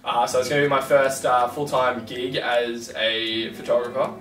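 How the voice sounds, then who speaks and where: A man's voice: mid-range voice, steady tone, moderate pace, fairly bright tone, recorded from a distance. A young man chatting casually as podcast host from an armchair in a living room, laid-back with lots of fillers and swearing. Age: young adult. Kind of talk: casual chat. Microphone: far camera mic. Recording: roomy sound from across the room.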